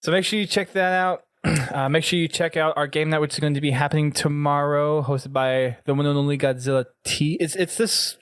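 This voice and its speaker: A man's voice: fairly high pitch, steady tone, brisk, clear, resonant tone, no room sound. Man hosting a gaming podcast, dry close sound. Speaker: podcast host. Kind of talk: casual gaming podcast talk.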